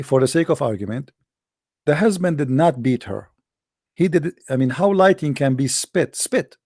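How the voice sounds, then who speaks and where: Arabic-accented male host, gravelly and dark-toned, emphatic delivery, very dry sound. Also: mid-range voice, dry recording. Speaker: host taking calls. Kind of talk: call-in debate show.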